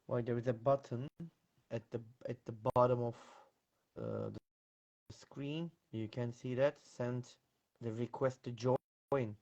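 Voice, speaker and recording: A man's voice: mid-range voice, animated, a little slow, creaky, long pauses, a little mellow. A man with a Turkish accent hosting a casual live online interview, speaking politely and full of thanks in repetitive phrases. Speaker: host of an online teacher talk series. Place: online video call live stream. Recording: dull, lo-fi live-stream audio.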